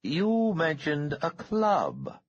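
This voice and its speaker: A man voicing a menacing bomber who speaks slowly and coldly, with a husky tone. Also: on the high side, huge pitch swings, no room sound, lo-fi sound, voice pushed up, full character voice. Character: menacing man with bomb, slow, cold.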